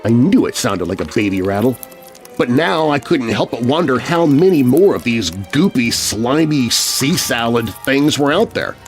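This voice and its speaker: A man narrating a scary children's story in an expressive, suspenseful, scripted style. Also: medium pitch, moderate pace, soft background music. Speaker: narrator, voice artist.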